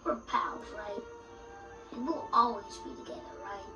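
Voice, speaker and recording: A woman voicing an eager, boastful young male lion cub who talks in an excited, cheeky way. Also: mid-range voice, unhurried, far from the mic, lo-fi sound, lightly acted. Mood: hopeful. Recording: roomy audio with music.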